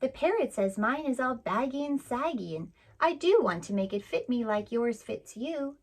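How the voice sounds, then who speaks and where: A woman's voice: fairly high pitch, animated, normal speed, pitched above the performer's own voice. A woman voicing a small, shy male elephant in a storybook, speaking earnestly and pleadingly.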